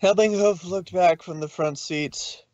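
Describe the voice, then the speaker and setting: A man's voice: sits high, measured pace, darkish tone, dry recording, lo-fi sound. A man narrating a story aloud in a dramatic reading style.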